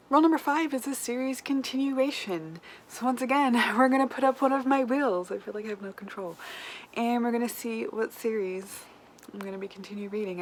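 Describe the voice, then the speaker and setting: A woman chatting casually to viewers as a book vlogger, with animated, self-deprecating asides. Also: mid-range voice, rough recording. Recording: clear background room noise.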